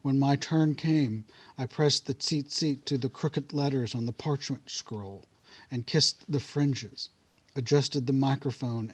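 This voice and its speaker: Man, middle-aged author, steady deliberate reading, middle-pitched voice. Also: muffled recording. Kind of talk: literary reading then conversation.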